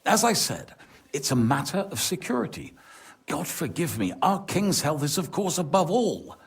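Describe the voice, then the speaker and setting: Worldly medieval nobleman for a game; rough husky voice, animated intonation, formal and worried. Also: on the high side, normal speed, full character voice. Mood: grave, concerned. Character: worldly, anxious medieval lord seeking a favour. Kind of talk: video game cutscene acting.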